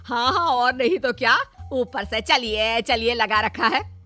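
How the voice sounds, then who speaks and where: A woman voicing a suspicious, combative middle-aged mother-in-law in a cartoon story, firing off sharp questions. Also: a little high, measured pace, soft background music.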